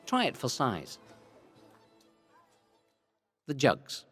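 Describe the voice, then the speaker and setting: A Scottish man reading a scripted history narration in a theatrical, relishing way, with deliberate emphasis. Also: medium pitch, wildly swooping pitch, fairly bright tone, breathy edge. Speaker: narrator of a local history audio guide. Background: music.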